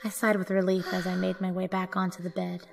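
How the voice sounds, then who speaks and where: A woman's voice: on the low side, completely flat delivery, fast talker, vocal fry, noisy background. A woman giving scripted first-person narration for an audio drama.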